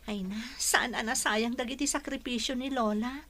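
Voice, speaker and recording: A woman's voice: a little high, fairly even intonation, normal speed, a bit bright, lightly acted. A woman playing a hopeful, dutiful young college student in a radio drama. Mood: wistful. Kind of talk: Ilocano radio drama acting.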